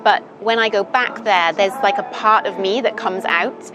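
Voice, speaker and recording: A woman's voice: mid-range voice, steady tone, moderate pace, poor audio. A young woman from Britain, chatting thoughtfully as an interview guest with many ums and you-knows. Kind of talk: casual interview chat. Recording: music playing underneath.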